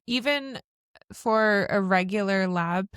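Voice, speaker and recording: A woman with a low voice co-hosting a podcast in casual chat, thinking aloud with fillers. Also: slow pace, a bit bright, clear, resonant tone, no room sound, clean studio sound. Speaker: podcast co-host.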